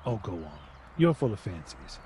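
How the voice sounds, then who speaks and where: A man playing a stubborn, gruff older husband who blusters and dismisses, in a radio drama. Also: sits low, very animated, normal speed, warm tone, slight background noise. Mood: irritable.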